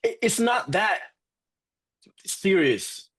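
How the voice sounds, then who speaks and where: A man acting as a lovestruck young man at the end of his patience in a dark comedy script read. His voice is husky and a little high, with pitch that jumps around. He argues defensively, in halting bursts. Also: expressive, exaggerated voice. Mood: exasperated. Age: young adult. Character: lovestruck, exasperated young man in a crumbling relationship.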